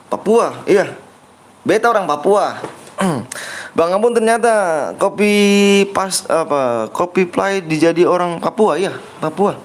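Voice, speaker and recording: A man's voice: high-pitched, lively intonation, slow, a little hiss or hum. A young man hosting a game livestream in a slightly raised voice. He reads chat aloud, jokes around playfully and keeps nudging viewers to like and subscribe, all in loose, casual talk. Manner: reads viewer comments aloud and banters, pushing likes and subscribes; playful joking persona. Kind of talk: casual live-stream chat.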